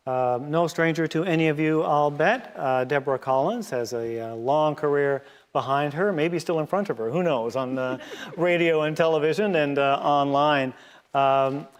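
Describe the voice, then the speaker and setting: A man's voice: on the high side, clean tone. Newfoundland guy introducing hymns, lots of uhs, church echo all around. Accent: Newfoundland English. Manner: halting, many filler pauses. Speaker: church music leader introducing singers. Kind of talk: hymn program introductions. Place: church sanctuary. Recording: long church reverb.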